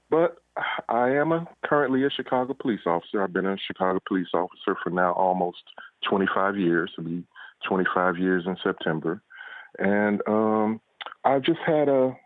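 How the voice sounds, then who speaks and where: A man's voice: sits low, dry recording. A middle-aged man answering interview questions conversationally, telling his story in a reflective, somewhat hesitant way over a phone. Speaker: interview guest, police officer and author. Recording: tinny narrow phone line.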